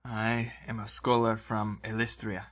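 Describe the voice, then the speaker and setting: A man voicing an earnest, centuries-old male elf scholar in a tabletop game, speaking in a measured, formal, halting way. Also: lowish voice, completely flat delivery, a bit bright.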